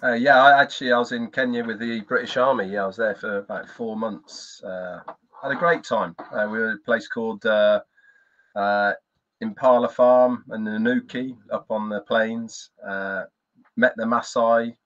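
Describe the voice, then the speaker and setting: An older man, a guest on a video call, talking in a relaxed, hesitant way with many fillers. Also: on the low side, moderate pace. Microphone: webcam on video call. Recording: dull lo-fi call audio.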